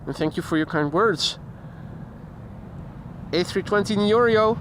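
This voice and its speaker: A man's voice: sits high, normal speed, noisy background. A Dutch man with a Dutch accent hosting a live plane spotting stream outdoors by an airport, chatting casually and reading chat messages aloud. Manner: chatty, reads chat aloud, imitates sounds. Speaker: plane spotting livestream host. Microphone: handheld shotgun mic.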